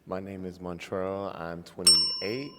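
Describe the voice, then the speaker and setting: A man's voice: deep voice, steady tone, slow pace, very noisy. A man in his late twenties, a dating show contestant, friendly and thoughtful with hesitant ums. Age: 20s. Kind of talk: casual chat. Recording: echoey hall.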